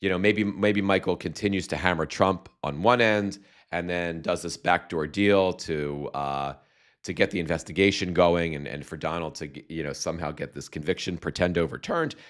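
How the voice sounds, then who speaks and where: A man hosting a live stream with a slight cold and a voice going hoarse, chatting in a rambling, halting way full of fillers and restarts while reading viewer comments. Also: low-pitched, fairly even intonation. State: slight cold, losing his voice. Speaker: livestream host.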